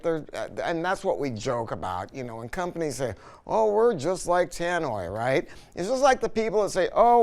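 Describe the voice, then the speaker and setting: A man giving an unscripted product review, telling stories in an emphatic, colloquial way with frequent rhetorical check-ins. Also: high-pitched. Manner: emphatic, colloquial, storytelling with rhetorical tag questions. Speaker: product reviewer and audio dealer. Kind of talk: unscripted sales-style product review talk.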